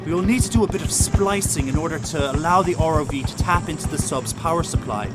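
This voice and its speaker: Man acting a high-pitched, eager brainy first mate. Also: expressive, quick pace, loud music behind the voice, close to an everyday voice. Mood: eager, technical. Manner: precise, technical, earnest. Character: brainy, eager, good-hearted first mate and tech tinkerer. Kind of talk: audio drama acting.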